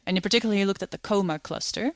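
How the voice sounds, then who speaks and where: A woman from the Netherlands explains astronomy clearly and patiently. She speaks at a middle pitch, and the close, dry recording carries no room echo. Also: rapid-fire. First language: Dutch. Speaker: astronomer guest. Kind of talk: interview, explaining science.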